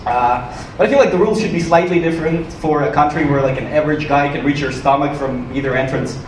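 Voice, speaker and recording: A man from Ukraine performing stand-up comedy to a live audience with a dry, joking delivery and hesitant pauses. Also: fairly high pitch. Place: small comedy club stage, live audience. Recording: roomy, noisy club recording from a distance.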